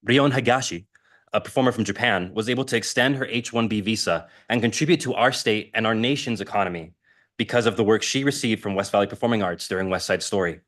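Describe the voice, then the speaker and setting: A man whose first language is Spanish speaks in a lowish voice at a podium microphone in a city council chamber. He is a stage performer giving a measured public comment full of figures. His delivery stays heartfelt and steady. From Mexico, raised in Utah. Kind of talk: prepared public comment speech.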